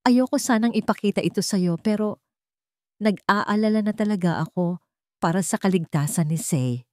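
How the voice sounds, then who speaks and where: A woman's voice: mid-range voice, moderate pace, darkish tone, no echo, pitched above the performer's own voice, close to an everyday voice. A scheming villainess from a story melodrama, played by a woman narrator. She feigns sweet concern with a hesitant manner, in a very dry recording. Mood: feigned reluctance.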